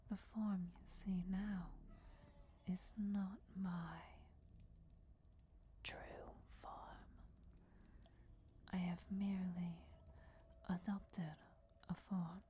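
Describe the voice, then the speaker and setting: A woman voicing an ancient, regal and patient vampire deity in a soft, breathy whisper, formal and deliberate, for a fantasy ASMR roleplay. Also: fairly low pitch. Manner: slow, deliberate, formal, measured. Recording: clear background noise, crypt ambience. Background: crypt ambience.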